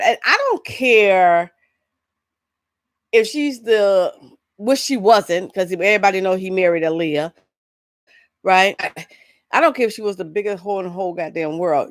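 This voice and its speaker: A woman hosting a vlog, giving casual, opinionated entertainment commentary in a halting, rambling, blunt way with frequent asides. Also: medium pitch, no room sound, studio quality. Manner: blunt, incredulous rhetorical questions. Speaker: vlog host.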